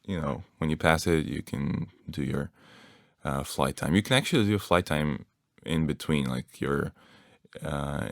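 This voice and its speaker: Very deep gravelly young man. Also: no room sound, clean studio sound. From Bulgaria. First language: Bulgarian. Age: young adult. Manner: relaxed, laughs often, restarts phrases. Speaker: guest. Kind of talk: casual podcast chat.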